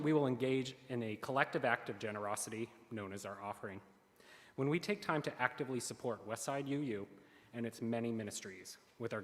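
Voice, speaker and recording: Man reading church announcements in a reverberant sanctuary. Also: medium pitch. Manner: earnest, careful reading with small slips. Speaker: worship service lay leader. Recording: long hall reverb.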